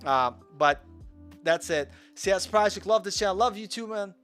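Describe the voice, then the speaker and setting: A man's voice: high voice, lively intonation, normal speed, a bit bright, faint music behind. Chatty young man walking through code. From Russia. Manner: chatty, rambling, self-deprecating. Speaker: coding tutor. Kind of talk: casual live coding walkthrough.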